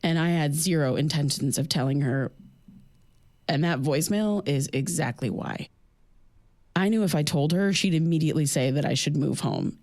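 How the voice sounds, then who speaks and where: A young woman playing a guarded, shaken podcaster in an audio drama, talking in a halting, conversational confessional monologue. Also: deep voice, a little mellow. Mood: resigned, explaining.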